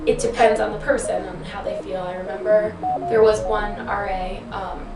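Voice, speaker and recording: A woman's voice: mid-range voice, fairly even intonation, normal speed. A woman residence coordinator answering interview questions in a chatty way, with lots of "like" fillers. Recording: roomy echo, distant mic, loud music underneath.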